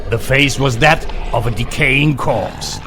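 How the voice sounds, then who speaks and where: A man reading dramatic scripted narration for a motion comic in a portentous, measured way. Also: mid-range voice, lively intonation, background noise. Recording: clear music behind the voice. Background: music.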